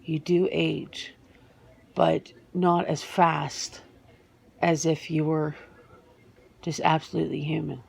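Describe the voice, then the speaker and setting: A woman playing a guarded, earnest werewolf answering interview questions in an ASMR roleplay, speaking haltingly and matter-of-factly. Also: sits low, little pitch movement, creaky, a little mellow, slightly rough, exaggerated voice. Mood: matter-of-fact, reflective. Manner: hesitant, matter-of-fact, halting with pauses.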